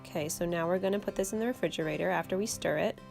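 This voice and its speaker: A young woman hosting her own channel, explaining a homemade recipe step by step in a relaxed, casual way from her kitchen. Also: fairly low pitch, very fast, creaky, faint music behind. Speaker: channel host. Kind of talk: casual how-to vlog. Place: home kitchen.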